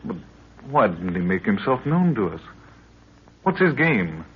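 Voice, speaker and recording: Muffled old radio eager young adventurer. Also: male voice, lowish voice, sing-song, measured pace. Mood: questioning. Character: brave, eager younger adventurer, respectful right-hand man. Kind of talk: 1930s radio drama acting. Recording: dull, faintly noisy 1930s radio recording.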